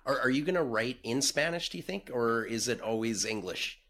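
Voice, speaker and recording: A man hosting a podcast interview, asking questions in a relaxed, hesitant way. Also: mid-range voice, fairly quick. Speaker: podcast host.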